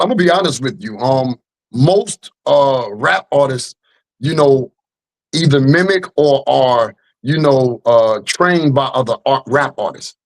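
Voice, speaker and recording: A man's voice: medium pitch, low-quality recording. An American man, a rap artist being interviewed, speaking conversationally and earnestly, with frequent check-in phrases to the listener. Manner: earnest, punctuates with "you know what I'm saying". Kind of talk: conversational storytelling.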